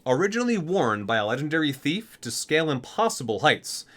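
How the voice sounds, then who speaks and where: A man's voice: on the high side, big pitch swings, fairly quick, fairly bright tone. A man hosting an ASMR video, reading guidebook entries aloud and sometimes stumbling and correcting himself.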